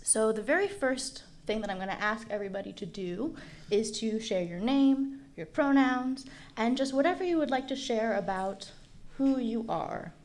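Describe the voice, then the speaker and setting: A woman from the United States facilitating a community panel, reading a land acknowledgement in a measured, earnest way. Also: on the high side, animated, a little mellow. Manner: measured, earnest delivery, switching to French.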